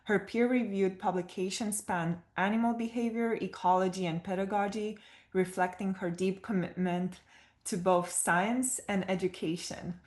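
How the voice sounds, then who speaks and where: A woman's voice: medium pitch, fairly even intonation, moderate pace, a little mellow. A woman with a Romanian accent moderating an online panel, reading speaker bios with many fillers and stumbling over names, on a video call.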